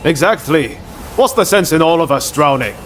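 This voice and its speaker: Lively, cocky, cajoling swordsman played by a man. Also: a little high, moderate pace, a little hiss or hum, lightly acted. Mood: breezy, persuasive. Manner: breezy, cajoling.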